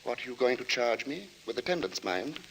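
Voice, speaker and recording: An actor playing a pious, weary and secretive gentleman lodger in a suspense radio drama, speaking formally with hesitant precision. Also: medium pitch, big pitch swings, brisk, a little hiss or hum, poor audio. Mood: weary, courteous. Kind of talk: old-time suspense radio drama acting.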